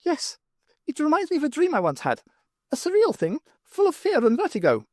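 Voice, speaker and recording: A narrator voicing an excitable, timid furry alien professor with an eager, chittering manner in an audiobook. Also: high voice, a little fast, no echo, clean studio sound, pitched above the performer's own voice. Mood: eager, awed.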